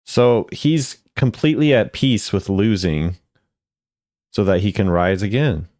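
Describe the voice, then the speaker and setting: A man's voice: lowish voice, measured pace, darkish tone, dry recording, studio quality. A man giving casual, laid-back reaction commentary on game voice lines in a musing way.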